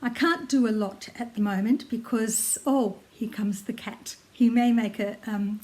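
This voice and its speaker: Older aussie lady chatting about her knitting at home, bit croaky, higher voice, lots of ums. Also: a little slow, mellow. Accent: Australian. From Australia. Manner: chatty, friendly, with frequent ums and little laughs. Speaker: knitting vlogger. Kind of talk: casual chat to camera. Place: at home in her lounge room, seated. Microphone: tablet camera close by.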